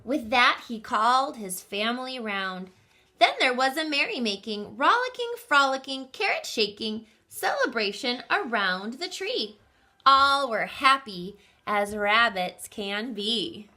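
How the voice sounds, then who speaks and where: A woman reading a picture book aloud to children, expressive and putting questions to her young listeners. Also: on the high side, a little slow. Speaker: children's story reader.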